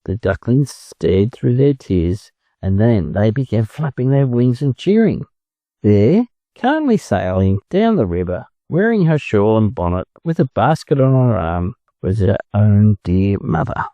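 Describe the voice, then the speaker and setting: A man with an Australian accent reading a children's story aloud in an expressive narrating style. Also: fairly low pitch, a little slow, no room sound. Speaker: storybook reader.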